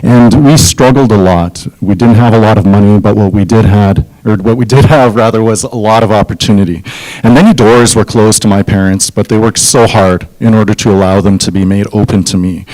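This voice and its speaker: Young canadian guy, kinda low voice, earnest stump speech at a forum. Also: fairly even intonation, brisk, a little mellow, poor audio. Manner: earnest, personal storytelling with occasional restarts. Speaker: mayoral candidate.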